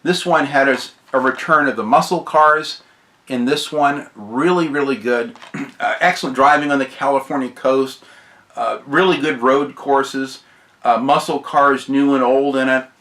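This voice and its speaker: Male game reviewer, bright forceful voice gone hoarse, unscripted, rambling and keen. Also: medium pitch, fairly even intonation, unhurried. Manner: enthusiastic, rambling, repeats praise. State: hoarse from recent sickness, often clearing throat.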